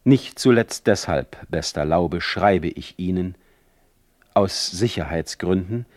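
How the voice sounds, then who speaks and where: Theatrical older poet, dramatically swooping voice. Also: male voice, low voice, expressive, slow pace, darkish tone, no room sound, clean studio sound. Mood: formal, pointed. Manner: theatrical, ornate, ironic, precise diction. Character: ailing, touchy older male poet dictating indignant letters. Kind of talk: dramatic literary letter monologue in a radio play.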